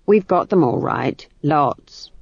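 A woman's voice: deep voice, expressive, slow, breathy edge, highs cut off, exaggerated voice. An obsequious old man serving at a storybook court, voiced by a woman. He is flustered and deferential, hesitating as he picks his words. The sound is dry, without room echo. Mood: eager. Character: timid, flustered, obsequious old male chamberlain.

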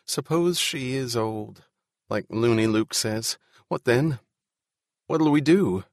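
A man's voice: mid-range voice, slow, no room sound, clean studio sound. A man voicing an anxious, fragile male musician in an audiobook, fretful and pleading.

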